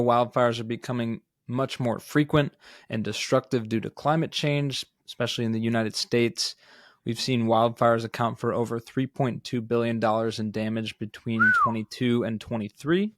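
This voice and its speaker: A man co-hosting a podcast, discussing startup news in a measured, analytical, semi-scripted manner. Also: mid-range voice, steady tone, dry recording, clean studio sound. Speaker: podcast co-host.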